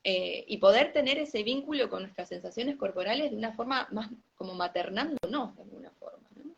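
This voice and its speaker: A woman, a somatic yoga teacher and guest, speaking calmly and in a measured way with hesitant pauses. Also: mid-range voice, vocal fry. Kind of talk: reflective conversation. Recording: dull lo-fi audio.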